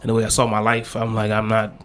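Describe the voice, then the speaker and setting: Bright-voiced man, casual podcast chat. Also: lowish voice, fast. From Washington, D.C. area. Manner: relaxed, repetitive restarts, slangy. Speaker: podcast guest and co-host.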